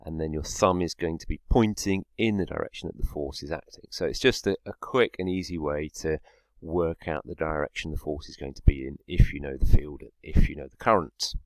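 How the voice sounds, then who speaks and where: A man's voice: rumbling low, a little fast, no room sound. A man teaching a science lesson, explaining step by step and often checking in with a short tag word. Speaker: physics teacher.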